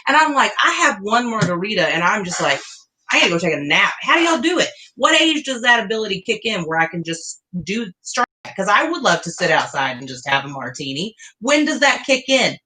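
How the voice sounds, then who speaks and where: A woman's voice: medium pitch, very animated, brisk, pushed and bright. A woman, co-hosting a casual live Q&A, chatty and self-deprecating with lots of fillers. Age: 30s. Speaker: podcast co-host.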